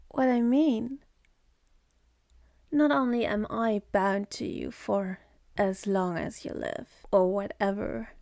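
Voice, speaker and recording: A woman's voice: on the high side, vocal fry, a little mellow, dry recording. A woman voicing a sardonic, seductive demon in an ASMR roleplay, mocking and contemptuous, speaking close to the mic.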